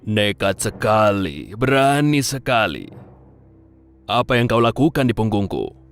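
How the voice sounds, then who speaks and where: A man voicing a proud male lion, king of the forest, who talks slowly and mockingly in a children's storybook tale. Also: lowish voice, very animated, faint music behind. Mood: haughty. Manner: slow, mocking and haughty.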